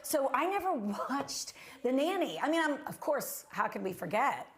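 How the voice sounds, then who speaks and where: A woman's voice: sits high, big pitch swings, normal speed. A woman anchor co-hosting in casual chat, with an effusive, exclamatory manner. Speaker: TV anchor and co-host.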